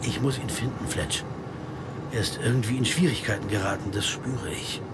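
A man's voice: lowish voice, normal speed, very noisy, natural voice. An actor playing an earnest American man who investigates a disappearance and narrates his search in a radio crime drama. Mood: intent, brooding. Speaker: first-person narrator and lead character. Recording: music behind the voice.

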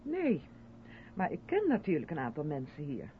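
A woman's voice: low-pitched, wildly swooping pitch, normal speed. An actress playing an earnest, assured woman who directs an amateur theatre group, in 1970s radio play style. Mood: resigned. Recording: muffled, noisy old radio recording.

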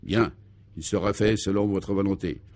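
A man acts out an obedient male henchman in an absurd radio play. He sounds fairly low, earnest and deferential, giving short, literal-minded replies. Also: normal speed, a little mellow, dry recording, natural voice.

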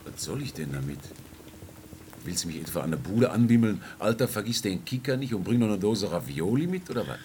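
Deep-voiced grumbling pub buddy. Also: male voice, very animated, a little fast, darkish tone, slight background noise. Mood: sardonic. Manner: gruff, slangy, grumbling. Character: grumbling working-class pub regular, sardonic buddy. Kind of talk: naturalistic radio drama acting.

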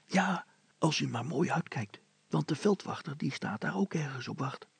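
Man acting mischievous young boy, audio story, hushed whisper, husky, confident, dry close sound. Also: on the high side, lively intonation, normal speed, no echo, voice pushed up, exaggerated voice. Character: eager, mischievous boy, cheeky and confident toward his father.

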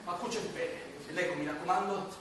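Man as a bossy, high-pitched ghost in a big echoey hall. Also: brisk, natural voice. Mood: bossy, impatient. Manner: hectoring, excitable, colloquial. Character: bossy, exasperated dead man coaching other ghosts to terrorize. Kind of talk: comic radio stage play acting. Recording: echoey noisy hall, far from mic.

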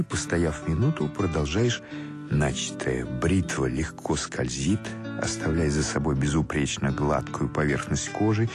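A man reading scripted literary prose as the narrator of a radio play, in a measured way. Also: deep voice.

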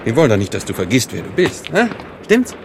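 An actor in a German radio drama playing a domineering Nazi sergeant who bullies with mock-friendly, needling questions. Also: male voice, mid-range voice, wildly swooping pitch, fairly quick, background noise. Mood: taunting, menacing. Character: menacing Nazi sergeant bullying a child on a train.

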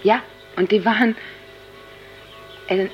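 Woman playing dazed young girl in radio drama, awed, very slow, keeps breaking off, dull lo-fi sound. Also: mid-range voice, fairly even intonation, close to an everyday voice. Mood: insistent, amazed. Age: young adult. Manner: halting, searching, breaks off sentences. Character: awestruck young woman groping for words after an LSD trip. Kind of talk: naturalistic radio drama dialogue. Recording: dull lo-fi tape, music underneath.

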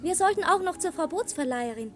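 A woman's voice: extremely high pitch, lively intonation, quick pace, soft background music. A woman playing an eager, playful young child in a radio drama, telling things in a simple storytelling manner. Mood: polite. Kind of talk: German radio drama acting.

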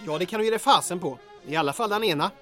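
A man's voice: high-pitched, animated, bright, forceful tone, faint music behind. A man playing an apologetic, morbid keeper of corpses in an audio drama, talking defensively. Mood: defensive, sheepish. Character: apologetic, macabre morgue-keeper with morbid humour.

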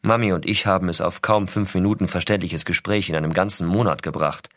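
A man plays an astronaut father narrating letters to his small son. His low voice is measured, reflective and affectionate, recorded dry and close. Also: steady tone, a bit bright, dry recording. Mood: matter-of-fact. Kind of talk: scripted first-person letter narration.

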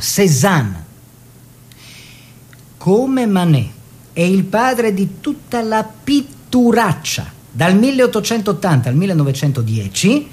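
Animated proud, wry artist husband. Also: male voice. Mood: reverent, passionate. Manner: emphatic listing and repetition, dropping to hushed asides. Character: opinionated Italian architect-painter husband, proud, bitter, wry. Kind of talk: literary stage-style radio drama monologue and dialogue. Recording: faint background noise with low rumble.

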